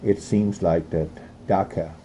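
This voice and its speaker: European guy reading news out loud, stumbles and restarts, low mellow voice. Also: steady tone, a little hiss or hum. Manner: halting reading with restarts and coughs. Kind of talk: reading news aloud with opinionated commentary.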